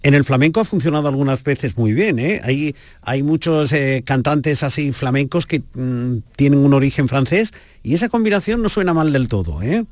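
A man's voice: medium pitch, lively intonation, moderate pace, hardly any pauses, darkish tone, no echo. A man from Andalusia hosting a radio program, presenting and interviewing a guest in a chatty, questioning way. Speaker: radio host. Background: music bed.

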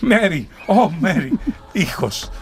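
A man's voice: high-pitched, big pitch swings, exaggerated voice. A man playing an emotional middle-aged family man in a Christmas radio play. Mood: joyful. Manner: halting, emotional.

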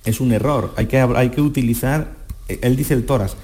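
A man with a dark, mellow voice speaks as a medical guest on the radio. He explains in a didactic way, repeating key phrases to drive them home. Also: medium pitch, normal speed. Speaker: doctor, voice specialist guest.

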